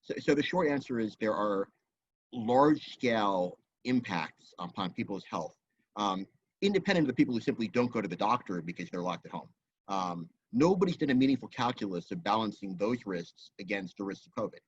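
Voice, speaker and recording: A man speaking as a guest expert in a roundtable discussion, measured and data-minded, with frequent ums. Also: on the low side, animated, breathy edge, no room sound. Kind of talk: explanatory discussion. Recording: dull lo-fi audio.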